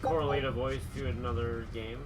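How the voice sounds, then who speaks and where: A man's voice: medium pitch, fairly even intonation, fairly quick, noisy background. A man streaming a game, chatting casually in a rambling, repetitive way. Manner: rambling, repeats words. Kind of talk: casual chat while playing a game. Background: video game music.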